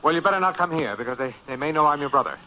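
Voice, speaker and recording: A man acts as a cool, shrewd figure in 1940s radio crime drama. He speaks quickly in a slightly high, controlled voice. The recording is dull and a little noisy. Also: animated, close to an everyday voice. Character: calm, shrewd brother giving instructions by telephone. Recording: muffled 1940s radio recording, faint noise.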